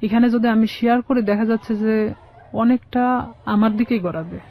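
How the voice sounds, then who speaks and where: A Bangladeshi woman giving personal testimony as an interview guest, in a halting, plain-spoken way. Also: fairly high pitch, steady tone. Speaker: guest telling her life story. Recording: dull, muffled lo-fi sound.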